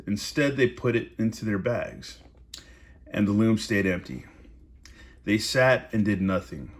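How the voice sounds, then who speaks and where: A man reading a story aloud in a casual, amused way, breaking off into informal asides. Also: fairly low pitch.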